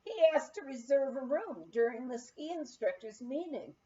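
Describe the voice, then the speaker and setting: A woman reader putting on an excitable, naive young woman in a storybook telling. Her voice jumps high and swoops as she exclaims her news. The recording sounds a little dull. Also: moderate pace. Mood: excited. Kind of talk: storybook read-aloud.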